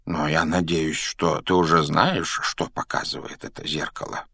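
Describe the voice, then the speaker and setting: A man voicing a wise old headmaster in a storybook, speaking slowly and gently in a measured, knowing way. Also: rumbling low, flat delivery, exaggerated voice. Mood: gentle, knowing.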